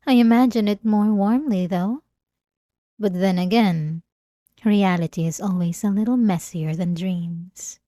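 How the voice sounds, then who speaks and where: Slow, velvety obsessive vampire seductress, played by a woman. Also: medium pitch, dry recording, studio quality, close to an everyday voice. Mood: teasing, amused. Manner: soft, teasing, drawn-out intimate delivery with pet names. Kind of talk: ASMR roleplay audio drama.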